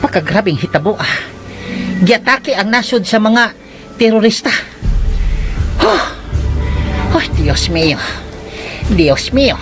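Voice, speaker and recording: Jittery houseboy, wildly swooping Cebuano voice. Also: male voice, high-pitched, expressive, slow, long pauses. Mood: panicky, fretful. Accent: Cebuano Filipino. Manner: exclamatory, muttering to himself. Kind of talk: comic radio drama acting. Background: background music.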